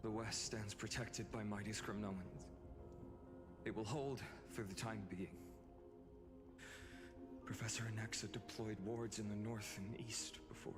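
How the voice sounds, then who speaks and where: An actor playing a stoic, resolute soldier-hero in a fantasy game, grave and measured. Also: male voice, fairly low pitch, steady tone, close to an everyday voice. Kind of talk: scripted fantasy game acting. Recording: long reverb, game music behind.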